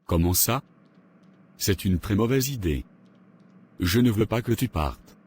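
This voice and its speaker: A man's voice: fairly low pitch, animated, a little slow, darkish tone, a little hiss or hum. A man playing a stern, stubborn father of a grown daughter in a cartoon, curt and unyielding. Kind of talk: cartoon voice acting.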